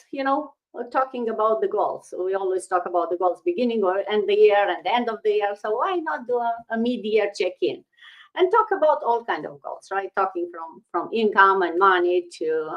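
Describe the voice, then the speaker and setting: A woman from Romania hosting a casual live stream, chatting loosely with fillers and restarts. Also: mid-range voice. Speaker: host. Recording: dull lo-fi stream audio.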